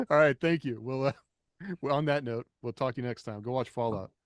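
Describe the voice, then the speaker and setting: A man's voice: mid-range voice, fairly quick, no echo. Chuckling man chatting loosely, dry close sound. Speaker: podcast co-host and writer. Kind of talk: casual rambling panel discussion.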